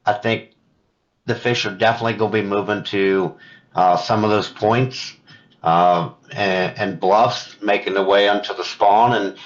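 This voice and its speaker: A man's voice: fairly low pitch, steady tone, measured pace, highs cut off. A man, a guest angler, talking casually and giving his fishing outlook in an interview over a remote call. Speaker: podcast guest, tournament angler.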